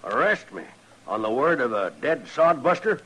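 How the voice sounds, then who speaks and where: An American actor playing a surly, defiant rancher, gruff and belligerent, in an old-time radio western. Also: male voice, medium pitch, very animated, normal speed, a bit bright, low-quality recording. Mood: defiant, threatening.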